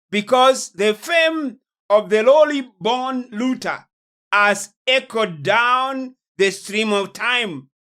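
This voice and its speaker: A man preaching in a lecture style, deliberate and emphatic, pausing and repeating phrases for weight. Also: high voice, sharp tone. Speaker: preacher, host of a religious programme. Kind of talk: sermon-like lecture on prophecy and world events.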